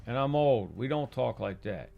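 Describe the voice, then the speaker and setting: A male narrator voicing a calm, dry-humored older man in an audiobook. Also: mid-range voice, moderate pace, gravelly, narrow, tinny audio.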